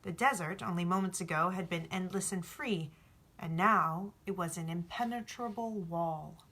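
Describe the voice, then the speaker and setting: A woman reads a storybook aloud. Her voice sits a bit low for a woman, with a bright, crisp edge. The delivery is informal, like a home read-aloud. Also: moderate pace. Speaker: audiobook reader. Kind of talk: storybook narration with informal asides.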